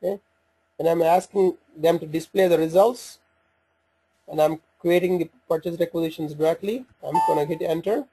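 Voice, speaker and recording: A man giving an informal step-by-step software walkthrough, speaking haltingly as he thinks aloud while working through the screens. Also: on the high side, low-quality recording. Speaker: software demonstrator. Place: voice-over for a screen recording.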